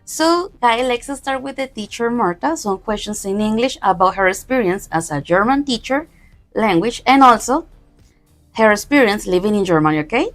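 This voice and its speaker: A woman's voice: a little high, animated, normal speed, soft background music. Upbeat woman interviewer. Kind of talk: interview in English and German.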